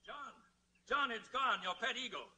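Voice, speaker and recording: A man's voice: extremely high pitch, moderate pace, pushed and bright, exaggerated voice. An actor playing a middle-aged, folksy rancher father, scolding but kindly, in a 1940s radio drama. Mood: agitated. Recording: vintage 1940s radio broadcast.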